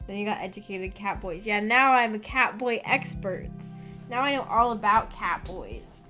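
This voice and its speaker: A young woman streamer talks in a casual, rambling way, thinking out loud as she responds to chat. Her voice sits at an average pitch for a woman, and music plays clearly behind her. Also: normal speed. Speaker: streamer, host of a visual novel book club. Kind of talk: casual livestream chat with viewers. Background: background music.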